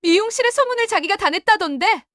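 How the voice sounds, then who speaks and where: A woman's voice: extremely high pitch, expressive, fast, fairly bright tone, no echo, studio quality. A woman playing a furious middle-aged market seller and sister-in-law, scolding indignantly in a melodramatic audio drama. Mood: angry, accusing.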